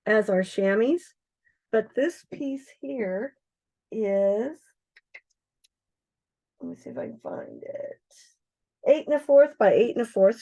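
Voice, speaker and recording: A middle-aged woman with a hoarse, froggy voice, chatting casually as she demonstrates craft supplies on a livestream, with many ums and uhs. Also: mid-range voice, warm tone. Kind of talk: casual live product demonstration.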